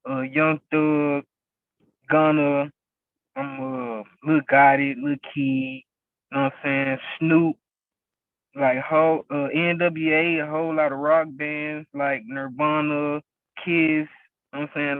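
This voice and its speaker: A man's voice: fairly high pitch, a little slow, no echo. A young man from West Memphis, a rapper and label owner, talking casually as an interview guest and leaning on "you know what I'm saying" over and over. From West Memphis, Arkansas. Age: young adult. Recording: tinny phone-like, very dry.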